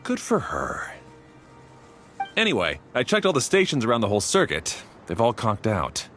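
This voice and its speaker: A man's voice: mid-range voice, huge pitch swings, normal speed, fairly bright tone. A man voicing a laid-back young caretaker who talks in casual slang, in a video game with music behind him. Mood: skeptical, casual.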